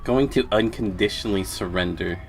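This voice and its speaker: A man's voice: lowish voice, a little fast. A man livestreaming a game with casual, laid-back, dry commentary. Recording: faint game music behind.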